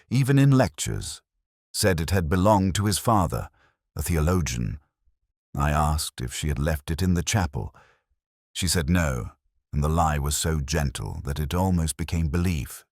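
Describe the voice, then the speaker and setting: A man voicing a weary middle-aged Oxford detective, narrating in first person in a measured, reflective way. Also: rumbling low, no echo, studio quality, full character voice. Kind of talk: scripted audio drama narration.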